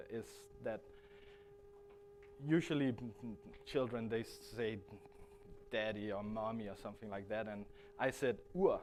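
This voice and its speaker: A man's voice: fairly low pitch, slight background noise. A man from Denmark in his thirties with a Danish accent, giving a presentation at a conference with many hesitant restarts. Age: 30s. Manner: hesitant, frequent restarts and fillers. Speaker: guest speaker.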